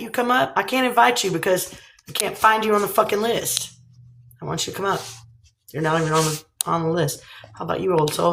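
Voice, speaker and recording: A woman's voice: lowish voice, a bit bright, a little hiss or hum. A woman from Texas with a Texan accent, hosting a live chat, teasing and swearing between laughs. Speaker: livestream host.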